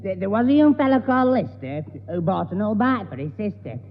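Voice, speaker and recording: A man's voice: high-pitched, sing-song, a little fast. Giggly gormless young man, tinny radio. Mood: daft, amused. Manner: dopey, giggly, stammering. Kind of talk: 1960s radio sitcom acting. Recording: tinny 1960s radio, reverberant, noisy, audience.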